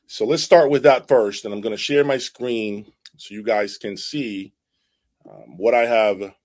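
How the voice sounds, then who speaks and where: A man's voice: fairly low pitch, fairly even intonation, a little slow, a little mellow. A man hosting an audiophile channel, giving casual, unscripted product commentary in an emphatic way, repeating his points and checking in as he goes. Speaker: host of an audiophile video channel.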